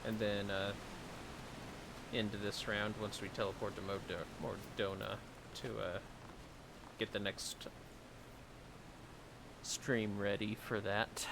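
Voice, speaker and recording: Deep-voiced man chatting through a game stream. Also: level tone, takes it slowly, creaky, pauses often, background noise. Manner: rambling, playful, talks to himself. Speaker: livestreamer playing an online fantasy game. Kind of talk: casual game commentary.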